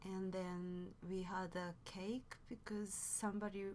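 A woman with a Japanese accent, a native Japanese speaker, chats as a podcast guest. She tells her stories hesitantly and corrects herself along the way, her pitch sits in a middle range, and a faint ambient noise hums underneath. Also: little pitch movement, slow pace. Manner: hesitant, self-correcting, soft asides. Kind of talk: casual conversational storytelling.

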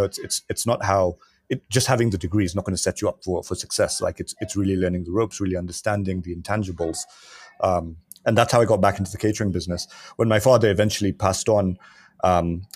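Deep husky man, casual storytelling. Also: a little mellow, no room sound, clean studio sound. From Kenya. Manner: rambling, many restarts and fillers. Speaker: podcast guest, entrepreneur. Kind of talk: casual interview talk.

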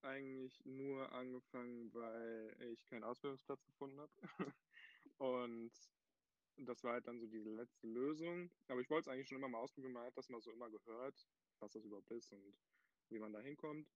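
A man's voice: medium pitch, fairly even intonation, a little fast, dry recording, dull audio. Giggly, bashful nineteen-year-old guy. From Germany. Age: late teens. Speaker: guest. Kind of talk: casual chat.

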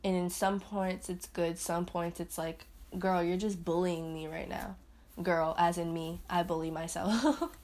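A young woman talks loosely through a casual solo podcast, her voice sitting a little low. She rambles and laughs at herself, and now and then she jumps up into a higher playful voice. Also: fairly even intonation, measured pace, a little mellow. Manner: swings into high put-on voices. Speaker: podcast host.

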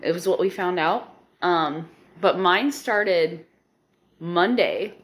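A woman in her thirties from the Midwest, hoarse and stuffed up from a cold, giving a rambling casual update to her podcast listeners with lots of ums. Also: on the low side, takes it slowly. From Nebraska, US Midwest. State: hoarse, congested. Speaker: podcast host.

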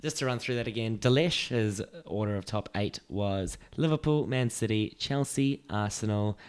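A man's voice: on the low side, normal speed, smooth. A young man co-hosting a casual sports podcast, joking and laughing often as he chats. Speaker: podcast co-host.

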